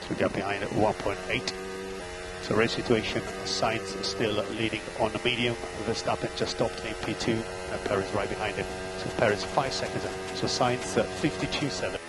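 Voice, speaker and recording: A man working as a race engineer, giving clipped, steady calls of gaps, lap times and instructions over team radio. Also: on the low side, moderate pace, heavy background noise.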